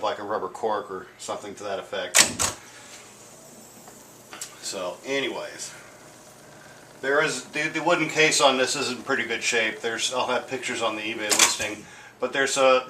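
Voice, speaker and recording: A man's voice: mid-range voice, bright, forceful tone. A man giving a casual product demonstration, explaining in a rambling way and often correcting himself mid-sentence. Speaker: vintage audio gear seller demonstrating a tape deck. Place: indoor room at home, beside stereo gear. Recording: roomy echo with noticeable background noise.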